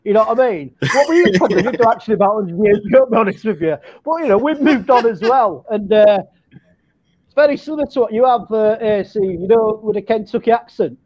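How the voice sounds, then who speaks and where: A Northern English man from Leeds chats on a talk show in a heartfelt, talkative way. His voice is notably high for a man, and the sound comes through dull and muffled, like a remote call. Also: animated, a little fast, darkish tone. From Leeds, England. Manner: chatty, heartfelt, says "what" for "that". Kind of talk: casual podcast chat.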